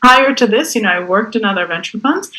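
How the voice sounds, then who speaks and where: A woman's voice: mid-range voice, lively intonation, fairly quick, sharp tone, low-quality recording. A woman born in India, the managing director of a venture fund, explaining its focus fluently in an interview over a video call. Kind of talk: interview answers.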